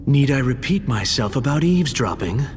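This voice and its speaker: Guy as strict aloof game officer, formal n curt, expressive pitch, long hall reverb. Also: moderate pace, slight background noise, close to an everyday voice. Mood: stern. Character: stern, aloof, dutiful organization officer. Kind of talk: video game cutscene acting.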